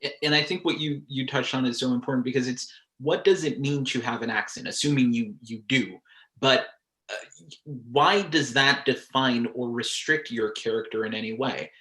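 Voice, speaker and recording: A man's voice: mid-range voice, normal speed, bright, forceful tone, roomy sound. A man moderating a panel discussion over a video call, speaking in an articulate and inviting way and passing questions to the guests.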